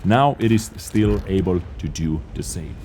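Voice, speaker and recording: A man's voice: low-pitched, lively intonation, unhurried, dark tone, slight background noise. A man who speaks English as a second language, giving an analytical, conversational voice-over commentary on his own gameplay. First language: not English. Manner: analytical, conversational, plain-spoken. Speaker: gaming channel host.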